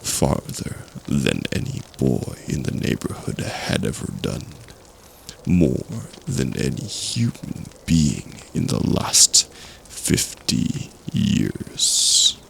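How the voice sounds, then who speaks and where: Male horror storyteller: low, crackly, hushed and suspenseful, extremely slow with dramatic pauses, faint noise behind. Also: wildly swooping pitch, gravelly. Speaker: storyteller in an audio roleplay.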